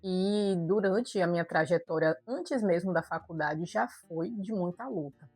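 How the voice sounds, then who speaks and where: Earnest Bahian woman with husky voice. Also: lowish voice, unhurried, a little mellow, no echo, soft background music. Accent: Bahian Brazilian Portuguese. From Brazil, Salvador, Bahia. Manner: earnest, conversational, addresses viewers directly. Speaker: doctor vlogger. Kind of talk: personal storytelling vlog.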